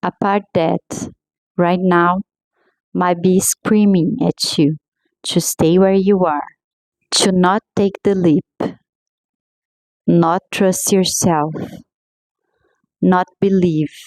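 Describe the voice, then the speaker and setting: A woman leads a scripted guided meditation at an extremely unhurried pace, letting long pauses sit between phrases. Her voice is mellow and somewhat low, soothing and gentle. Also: fairly even intonation, no echo, low-quality recording. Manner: slow, soothing, gently paced.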